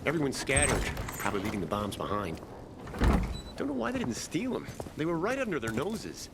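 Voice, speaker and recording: Lively male comrade, loud noise behind. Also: mid-range voice, brisk. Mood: shaken, scornful. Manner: clipped, casual. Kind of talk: video game cutscene acting.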